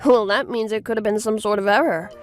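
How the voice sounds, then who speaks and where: A woman's voice: medium pitch, steady tone, fast talker, natural voice. Manga dub role: self-important woman teacher, pointed delivery female performer, music bed. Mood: cold. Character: boastful female schoolteacher turned cold and accusing.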